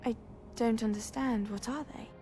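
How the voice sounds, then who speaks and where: A woman's voice: fairly high pitch, steady tone, moderate pace, dark tone, faint music behind, natural voice. A woman voicing an earnest, curious teenage witch student in a video game cutscene. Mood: puzzled, curious.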